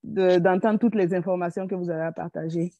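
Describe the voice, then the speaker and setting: A woman's voice: fairly low pitch, steady tone, a little fast, dark tone, no echo, highs cut off. A woman from Burkina Faso, introducing herself politely and hesitantly on a video call. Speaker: workshop participant.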